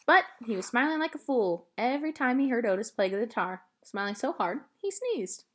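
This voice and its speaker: A woman's voice: a little high, big pitch swings, fairly quick, slight background noise. A woman voicing a kindly old woman who tells a story in folksy dialect, in a storybook reading. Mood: amused storytelling.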